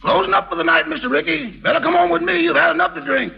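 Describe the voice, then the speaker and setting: An actor playing a loyal, wry bar pianist with a creaky voice in a 1940s radio comedy. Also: male voice, very high voice, sing-song, quick pace, muffled recording, heavily acted. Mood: coaxing, weary. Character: loyal, wry male piano player and bar singer. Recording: old radio recording, loud music behind.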